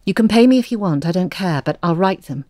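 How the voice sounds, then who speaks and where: An actress playing a wistful would-be storyteller in an audio drama, speaking in a hushed, measured, reflective way. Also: female voice, lowish voice, lively intonation, dry recording, studio quality, lightly acted. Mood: impassioned, resolute. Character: wistful woman confessing as a lifelong would-be storyteller.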